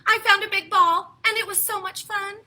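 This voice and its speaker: A woman puts on a little storybook pig, very high and piercingly bright. The childlike piggy is upset and plaintive, wailing out in halting exclamations. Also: fairly even intonation, heavily acted. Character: small, upset, childlike pig from a picture book.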